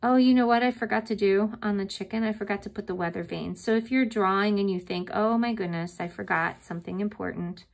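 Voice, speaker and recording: A woman's voice: medium pitch, a little fast, gravelly, few pauses, low-quality recording. A middle-aged woman teaching a step-by-step drawing lesson to children, patient and encouraging, recorded at her desk on the camera mic. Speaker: art teacher.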